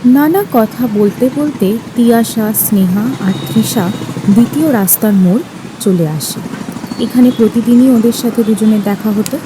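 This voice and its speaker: A woman's voice: fairly high pitch, normal speed. A young adult woman reading scripted narration for an audio story. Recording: noisy street ambience behind.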